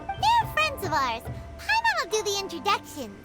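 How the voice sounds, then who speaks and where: A woman voicing a small, chirpy, childlike fairy companion in an anime game, perky and blunt with a squeaky voice. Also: wildly swooping pitch, normal speed, loud music behind the voice, heavily acted. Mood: cheerful. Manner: perky, exclamatory, informal.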